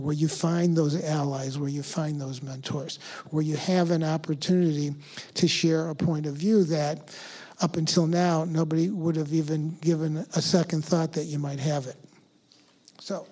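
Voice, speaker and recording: An older man with a soft voice giving a conversational conference talk, often clearing his throat and using fillers. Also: on the high side. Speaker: speaker.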